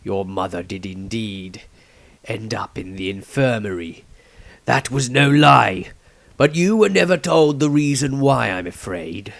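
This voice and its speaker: Man voicing a slow, gravelly old wizard patriarch. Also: on the low side, expressive, dry recording. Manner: solemn, deliberate, dramatic pauses. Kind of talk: fantasy audiobook character reading.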